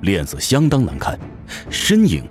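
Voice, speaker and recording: A man's voice: fairly low pitch, huge pitch swings, unhurried, darkish tone. A man narrating a fantasy audio drama from a script, with intense, theatrical storytelling. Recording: music behind the voice.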